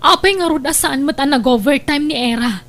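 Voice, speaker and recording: Suspicious, hurting radio-drama wife, high-pitched, pleading, full of questions. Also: female voice, a little fast, fairly bright tone. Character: hurt, suspicious wife and mother questioning her husband. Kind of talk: Ilocano family radio drama acting.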